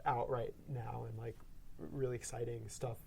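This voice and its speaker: A man speaking as a guest sound designer in a casual discussion, halting as he searches for words. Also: medium pitch, steady tone, vocal fry, darkish tone, husky. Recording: faint background noise and room ambience.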